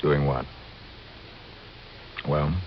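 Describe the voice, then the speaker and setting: A man's voice: rumbling low, little pitch movement, extremely slow, a little hiss or hum, full character voice. A man acting a self-assured, probing insurance investigator in a 1950s American radio crime drama, clipped and sardonic, with an American accent. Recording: dull vintage radio recording with music.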